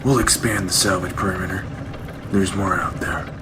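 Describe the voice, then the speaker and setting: A man's voice: low-pitched, steady tone, moderate pace, lightly acted. An actor playing a practical, matter-of-fact male survivor scout in a post-apocalyptic audio drama. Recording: clearly noisy, vehicle ambience.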